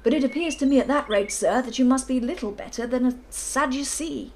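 A bluff old colonel with a booming, emphatic delivery and plenty of hearty assurance, as a woman reads him. He speaks louder and broader than those around him. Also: fairly quick, a little hiss or hum. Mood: indignant.

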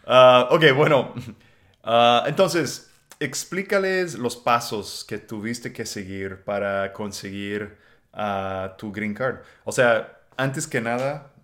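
A man with an American accent hosting a casual podcast in Spanish, relaxed and quick to laugh. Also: mid-range voice. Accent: American English. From United States. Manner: relaxed, unhurried, laughs easily. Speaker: podcast host. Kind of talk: casual chat.

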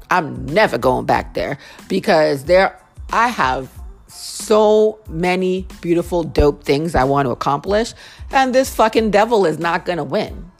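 A young woman with a naturally low, deep-toned voice hosts her own podcast. She talks straight to her listeners in a casual, heartfelt way and repeats phrases to press her point home. Faint music plays behind her. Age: young adult. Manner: earnest, repeats phrases for emphasis. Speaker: podcast host.

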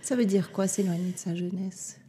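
A woman hosting a podcast interview, asking calm, probing questions in a soft, attentive way. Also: medium pitch, normal speed, slightly rough. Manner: calm, soft and attentive, sometimes near a whisper. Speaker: podcast host.

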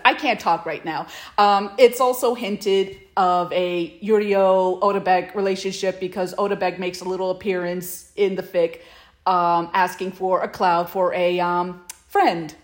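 A woman co-hosting a casual fan podcast, talking with gushing enthusiasm. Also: mid-range voice. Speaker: podcast co-host.

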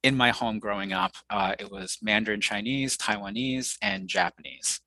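A man's voice: on the low side, moderate pace, vocal fry, pushed and bright, no room sound, poor audio. A young American man, a researcher guest in an interview, speaking thoughtfully with frequent fillers and restarts. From United States. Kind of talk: conversational interview.

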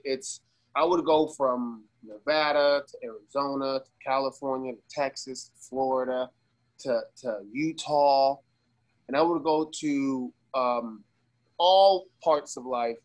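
A man from Las Vegas, ill with pneumonia, talking casually as an interview guest in a loose, halting, slangy way with emphatic repetition. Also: mid-range voice, low-quality recording. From Las Vegas, USA. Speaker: guest, socialist activist.

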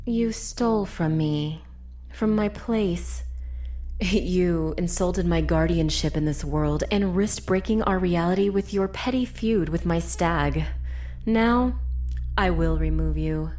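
A woman's voice: fairly low pitch, slow, darkish tone. A woman voicing a haughty, threatening spirit queen in an audiobook drama, imperious and condescending. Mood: menacing.

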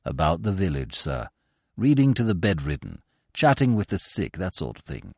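A man's voice: low-pitched, big pitch swings, moderate pace, darkish tone, slightly rough, dry recording, studio quality, voice pushed down, full character voice. A man voicing a grave, unflappable middle-aged valet who speaks formally and deferentially. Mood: composed.

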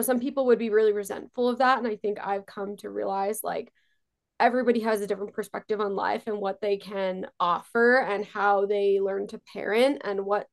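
A woman's voice: medium pitch, gravelly. A woman in her twenties, raised in New York, talking casually as an interview guest, chatty and full of fillers. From born in Israel, raised on Long Island, New York. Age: 20s. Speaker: guest entrepreneur.

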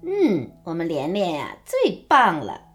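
A woman playing a gentle, coaxing and proud mother of a young girl in a radio drama. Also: lowish voice, huge pitch swings, a little slow, faint music behind. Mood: gentle, encouraging.

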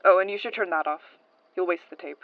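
A woman playing a brisk, businesslike archival transcriptionist in an audio drama, matter-of-fact and a little curt. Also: lowish voice, narrow, tinny audio, slight background noise, lightly acted. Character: brisk, businesslike female archival transcriptionist showing a newcomer around. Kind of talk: naturalistic audio drama acting.

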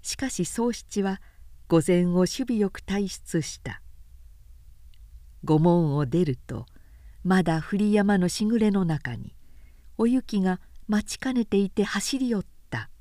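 A woman narrator giving a measured, expressive professional reading of a period story. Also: medium pitch, no room sound, clean studio sound.

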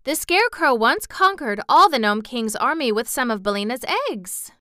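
A woman's voice: fairly high pitch, expressive, normal speed, sharp tone, smooth, no room sound, studio quality, pitched above the performer's own voice. A woman voicing an earnest, inquisitive little girl in a storybook, eager and questioning. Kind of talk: storybook character voice.

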